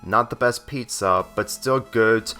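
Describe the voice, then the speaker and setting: A man's voice: on the low side, a little slow, pushed and bright. A man voicing a cool, protective teenage girl in a fan fiction story, casual and upbeat. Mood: casual, confident. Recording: music under the voice.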